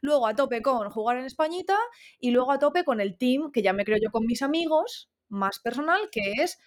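A woman's voice: high-pitched, animated. A woman in her thirties from Spain, with a Spanish accent, co-hosting a casual chat with playful asides. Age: 30s. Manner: chatty, playful, quick asides and mock voices. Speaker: podcast co-host.

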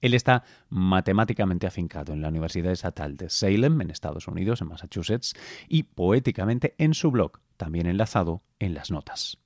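A man from Catalonia who hosts a podcast, reading scripted links between interviews in a measured way. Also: deep voice, lively intonation, a little mellow, no room sound, studio quality. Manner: measured presenter. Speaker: podcast host. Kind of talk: scripted narration.

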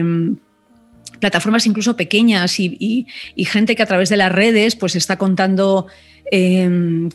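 Middle-aged spanish woman, news correspondent, chatting in an interview, thinks out loud a lot, restarts phrases, faint music behind. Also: medium pitch. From Spain. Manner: thoughtful, reflective, many restarts and fillers. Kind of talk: relaxed interview conversation.

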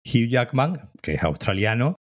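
A man's voice: medium pitch, very animated, moderate pace. A man co-hosting a podcast, chatting casually about a cartoon and hesitating often. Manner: hesitant, many fillers and restarts, agreeing often. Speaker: podcast co-host. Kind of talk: casual review chat.

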